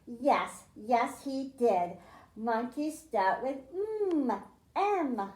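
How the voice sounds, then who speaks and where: A woman's voice: on the high side, lively intonation, very slow, darkish tone, reverberant. A woman librarian reading a picture book aloud to children, stressing the m sounds in a sing-song storytime way, then explaining a science activity. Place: library room.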